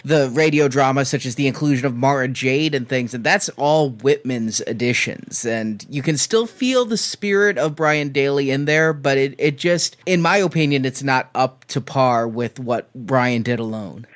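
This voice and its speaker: Mid-pitched man hosting a conversation. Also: moderate pace, few pauses. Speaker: interviewer, host. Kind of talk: conversational commentary, giving background and opinion.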